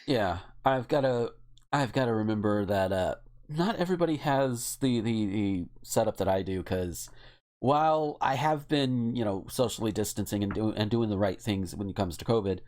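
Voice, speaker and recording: A man's voice: fairly low pitch, animated, normal speed, a little mellow. A man co-hosting a podcast, talking casually in a rambling, wry and opinionated way. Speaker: podcast co-host. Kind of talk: casual chat.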